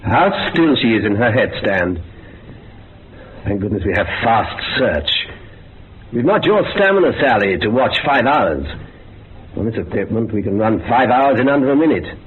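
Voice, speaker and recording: An actor playing a grave, observant scientist in a radio drama, with measured literary phrasing on a muffled recording. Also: male voice, medium pitch, sing-song, close to an everyday voice. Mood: brisk, concerned. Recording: muffled phone-like sound with faint hiss.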